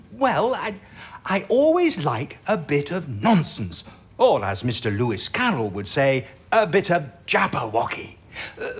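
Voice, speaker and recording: Man playing husky, excitable old British eccentric. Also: fairly high pitch, full character voice. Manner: halting, exclamatory, whimsical. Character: eccentric, excitable, ailing older codebreaker, fond of whimsy. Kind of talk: audio drama acting.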